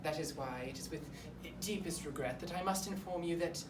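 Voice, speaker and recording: A woman's voice: low voice, a little fast, lightly acted. A woman playing a pompous, awkward boarding school headmaster in a comic monologue, with fussy, rambling formal politeness. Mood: grave, evasive. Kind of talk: comic monologue in a speech competition performance. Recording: roomy, distant mic, clear background noise.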